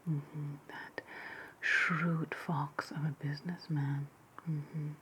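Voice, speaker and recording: Slow whispering, spiteful witch played by a woman. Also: low voice, flat delivery, a bit bright, slight background noise. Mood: wry, bitter. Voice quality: breathy, unvoiced whisper. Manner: slow, deliberate, sweetly sarcastic. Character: wry, spiteful ancient Roman witch, single woman. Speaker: ASMR roleplay performer. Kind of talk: whispered ASMR roleplay.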